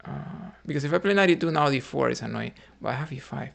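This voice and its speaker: Gravelly man muttering chess moves. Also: mid-range voice, animated, fast talker. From Cuba. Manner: thinking aloud, muttering moves quietly, hesitant. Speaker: chess streamer. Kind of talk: live-streamed commentary on his own chess games.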